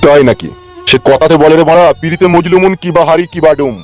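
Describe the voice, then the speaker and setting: A man's voice: on the high side, fast, a little mellow, faint music behind, lightly acted. A man voicing a teasing, resentful village friend in a comedy cartoon, taunting in a folksy way. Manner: taunting, quotes folksy rhymes.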